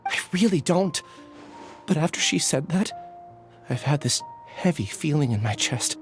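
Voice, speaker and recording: Nervous young man, swooping pitch. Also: lively intonation, a little slow, faint music behind. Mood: troubled, unsure. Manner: hesitant, earnest.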